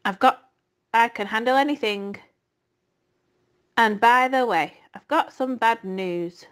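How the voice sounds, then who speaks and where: A woman voicing a greedy, overstuffed male rat, with a husky, hoarse voice and slow, drawn-out, snarling delivery. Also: fairly high pitch, a bit bright.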